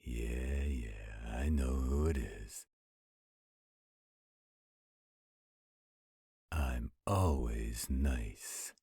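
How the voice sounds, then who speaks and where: A man playing a gentle, protective werewolf in a roleplay audio, telling bedtime stories in a slow, intimate, caring way. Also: dry recording, heavily acted. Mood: gently caring. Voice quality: very deep, husky, gravelly growl. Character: gentle protective male werewolf, soothing storyteller to his partner.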